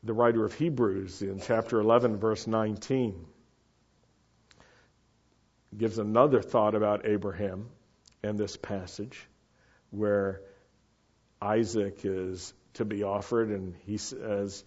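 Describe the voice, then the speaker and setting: A man's voice: on the low side, steady tone, a little slow, a little mellow, breathy edge. A man giving a teaching sermon to a live congregation, sometimes putting questions to the audience. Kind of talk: Torah-portion sermon. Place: meeting room with a live congregation. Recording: muffled lo-fi recording.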